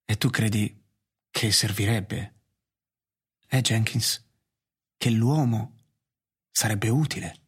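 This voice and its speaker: A male actor playing a weary, reflective human master in a radio drama, doubting and deliberate, pausing between phrases. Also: lowish voice, husky, full character voice. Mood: pensive doubt. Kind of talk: literary radio theatre narration and acting.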